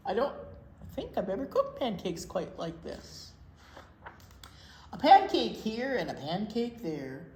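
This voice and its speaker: A woman reading aloud to small children as a storytime teacher, with expressive, drawn-out delivery and playful asides. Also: mid-range voice. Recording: roomy echo, faint noise.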